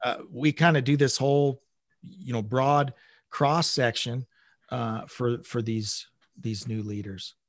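A middle-aged man talking conversationally as an interview guest, in halting bursts with repeated words. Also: medium pitch, fairly even intonation, dry recording, clean studio sound. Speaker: interview guest, manager at a global company.

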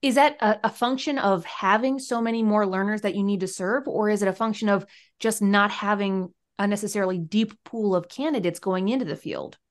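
A woman hosting an education podcast, reading a clear, measured introduction and then interviewing guests. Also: medium pitch. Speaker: podcast host.

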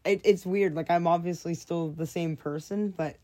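A young woman with a low, mellow voice, whose first language is French. She chats casually as a guest, hesitant and full of "like" and "um". She often breaks into small laughs. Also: steady tone, moderate pace. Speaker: podcast guest. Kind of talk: casual conversation.

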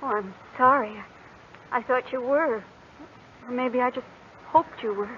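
A woman's voice: a little high, a little slow, close to an everyday voice. A woman playing a sweet, confused amnesiac young woman with a plaintive, innocent manner in old radio drama. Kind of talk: 1940s-50s radio drama acting. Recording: muffled, noisy old radio recording.

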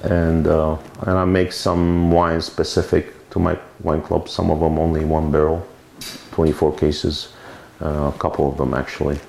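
A deep-voiced man recalls his life in a loose, meandering way, often correcting himself. His voice is gravelly and rough. The room is echoey, with a light hiss behind him. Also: flat delivery, normal speed, darkish tone. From Lebanon. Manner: rambling, self-correcting storyteller. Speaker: winemaker interviewee. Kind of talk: oral history interview. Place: winery. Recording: roomy recording with faint noise.

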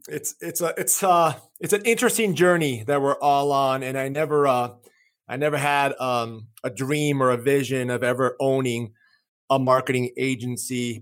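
A man in his thirties, raised in Chicago with Greek as his first language, talks through his story as a podcast guest. He is earnest and often restarts or repeats a phrase, and his voice sits at an ordinary middle pitch. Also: fairly even intonation, normal speed. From Chicago, USA. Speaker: marketing agency owner, guest. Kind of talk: casual interview storytelling.

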